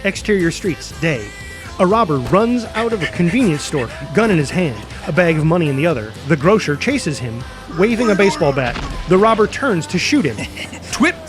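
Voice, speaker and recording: A man's voice: sits high, very animated, normal speed, music in the background. A man reading scripted screenplay narration and stage directions dramatically, voicing the sound effects himself.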